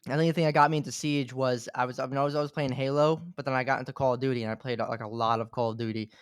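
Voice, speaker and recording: A man in his twenties, a guest on a podcast, talking in a relaxed way with lots of filler words. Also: mid-range voice, very fast, smooth, dry recording, clean studio sound. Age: 20s. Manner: relaxed, fills talk with "like". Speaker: podcast guest, gaming content creator. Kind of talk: casual interview chat.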